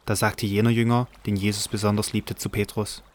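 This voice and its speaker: A man's voice: lowish voice, flat delivery, a little fast. A man narrating a Bible audio drama from a script.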